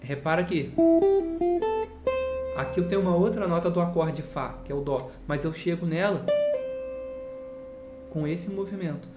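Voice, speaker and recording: A man's voice: a little high, moderate pace, long pauses. A man from Brazil teaching guitar in a casual lesson, explaining with rhetorical questions and a lot of "look here" asides. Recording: roomy sound with guitar playing.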